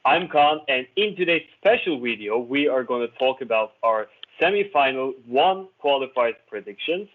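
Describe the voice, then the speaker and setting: A man's voice: mid-range voice, lively intonation, moderate pace, few pauses. Man hosting, tinny phone-like sound. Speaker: host. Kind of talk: casual group discussion.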